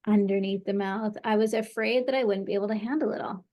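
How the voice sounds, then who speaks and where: A woman's voice: mid-range voice, steady tone, fast, a little mellow. A woman practitioner guiding a client through a tapping session, encouraging her and leading phrases for her to repeat.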